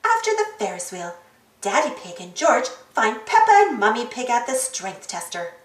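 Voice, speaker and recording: High, very animated woman storyteller. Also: normal speed, reverberant, distant mic. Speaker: storybook reader, channel host. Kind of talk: expressive children's read-aloud narration.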